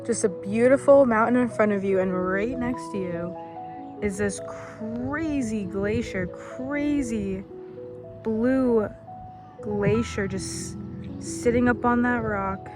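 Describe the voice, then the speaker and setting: High-voiced woman over prominent music. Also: slow. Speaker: travel vlogger. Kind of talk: travel vlog narration.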